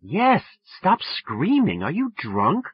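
Man acting a young woman, audiobook character, raised pitch, weary, curt, exasperated, scolding, dull recording. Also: fairly even intonation, measured pace. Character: exasperated young woman brushing off a drunk caller.

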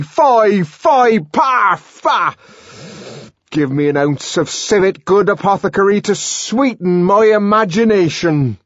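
A man voicing a savage, raging young man in an audiobook, declaiming archaic words theatrically and forcefully. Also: high-pitched, no room sound, highs cut off, voice pushed up, exaggerated voice.

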